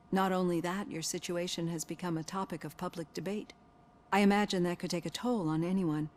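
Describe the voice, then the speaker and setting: Woman as a composed lower-voiced psychologist. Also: darkish tone, lightly acted. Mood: polite, probing. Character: composed, analytical female psychologist questioning her patient. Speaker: therapist. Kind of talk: scripted game dialogue.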